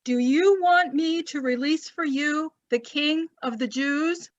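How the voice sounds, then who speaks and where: A woman playing a questioning Roman governor in an amateur scripture reading, speaking deliberately. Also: sits high. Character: Pilate, questioning Roman governor addressing a crowd. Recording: dull lo-fi home recording.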